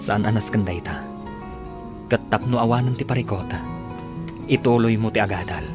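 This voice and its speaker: A man's voice: lowish voice, moderate pace, lightly acted. A man playing a devoted, determined young suitor in a Filipino radio drama. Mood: earnest, tender. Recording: music bed under the dialogue.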